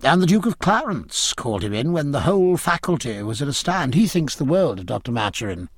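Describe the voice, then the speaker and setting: A man playing a respectful, tactful British navy commodore in an audiobook, measured and deferential. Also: medium pitch, big pitch swings, breathy edge, no room sound, clean studio sound. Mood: assuring. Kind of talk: naval historical audiobook character dialogue.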